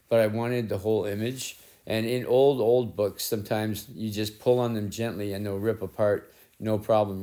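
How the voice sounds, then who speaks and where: Middle-aged man, rough raspy voice, lowish pitch, loose rambling artist narration, self-deprecating. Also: a little mellow. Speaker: collage artist and painter. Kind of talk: casual narration while working. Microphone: head-mounted action camera.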